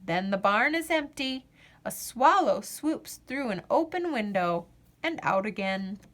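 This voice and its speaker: Slow, lilting woman reading a children's storybook. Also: a little high, expressive, a bit bright. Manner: deliberate phrasing with drawn-out refrains. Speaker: storyteller reading a children's picture book aloud. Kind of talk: expressive children's read-aloud narration.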